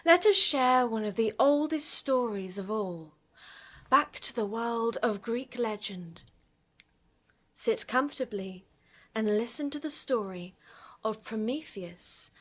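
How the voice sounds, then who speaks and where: A woman's voice: mid-range voice, animated, slow, fairly bright tone. A woman playing a bewitching, conspiratorial radio horror hostess, with a dramatic, relishing delivery in an old-fashioned style. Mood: grandly inviting.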